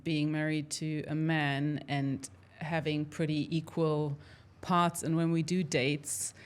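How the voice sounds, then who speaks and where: A woman biologist talking in a casual discussion, measured and hesitant, with many fillers. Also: deep voice, level tone.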